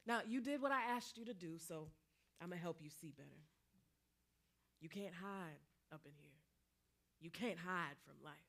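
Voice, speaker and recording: A woman's voice: fairly low pitch, moderate pace, pauses often, fairly bright tone. A woman playing a knowing, taunting spirit in a staged play reading, with an American accent and slangy, admonishing delivery. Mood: stern, knowing. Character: knowing, taunting bloodstained female spirit, streetwise and unyielding.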